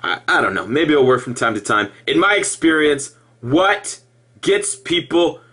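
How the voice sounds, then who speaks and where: Animated man with a higher, husky voice. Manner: halting, repetitive, with emphatic outbursts. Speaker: former Jehovah's Witness video blogger. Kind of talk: impassioned personal monologue to viewers.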